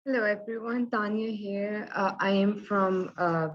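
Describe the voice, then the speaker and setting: A woman's voice: medium pitch, steady tone, unhurried, muffled recording. A young woman introducing herself on a panel, hesitant with many fillers. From Pakistan. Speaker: debate panelist.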